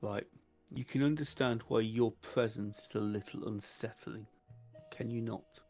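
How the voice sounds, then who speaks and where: A man playing a wary, diplomatic male vampire who leads his group in a tabletop game, speaking in a measured, formal way. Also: fairly low pitch, fairly even intonation, a little mellow. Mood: wary, firm. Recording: slightly dull audio, music behind.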